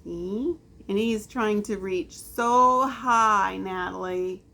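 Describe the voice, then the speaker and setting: A woman reading a picture book aloud to a small child, adding drawn-out, expressive asides. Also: mid-range voice, creaky. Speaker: storyteller.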